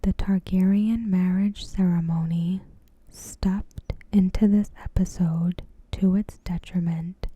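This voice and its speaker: A woman doing ASMR commentary in a breathy, hushed whisper, measured and deliberate, close to the microphone. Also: lowish voice, steady tone, no echo. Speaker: ASMR creator reviewing a TV episode. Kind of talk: ASMR, soft scripted commentary. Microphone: close microphone in a dry space.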